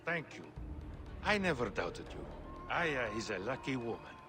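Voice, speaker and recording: A man playing a flamboyant, warm-hearted playwright in a video game, theatrical, effusive and grandiose. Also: medium pitch, very animated, normal speed, natural voice. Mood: jovial. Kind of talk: video game cutscene acting. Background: music.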